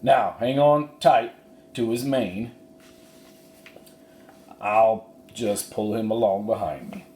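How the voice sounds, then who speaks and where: Male reader as tough, kindly storybook cowboy, folksy drawl, unhurried, roomy sound. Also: fairly low pitch, darkish tone, a little hiss or hum. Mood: folksy, commanding. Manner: folksy, drawn-out cowboy talk. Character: plain-spoken, tough but kindly cowboy horse herder.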